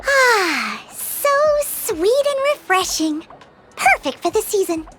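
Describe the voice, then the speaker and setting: Tiny fairy companion, extremely high childlike voice, swooping intonation, bubbly, greedy, game character, music behind. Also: female voice, very animated, takes it slowly, heavily acted. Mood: greedy delight. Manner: bubbly, exclaiming, speaks of self in third person. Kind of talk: video game voice acting.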